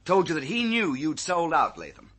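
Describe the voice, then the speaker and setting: An actor playing a shrewd, sardonic investigator in an old radio drama, dry, commanding and raspy. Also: male voice, a little high, huge pitch swings, moderate pace, a bit bright, full character voice. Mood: accusing. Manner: dry, clipped, accusing.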